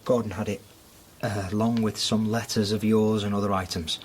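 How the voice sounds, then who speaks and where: Radio drama: male actor as courteous heir hunter, lowish pitch, careful and tactful, light ambient noise behind. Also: little pitch movement, moderate pace, close to an everyday voice. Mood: gently concerned.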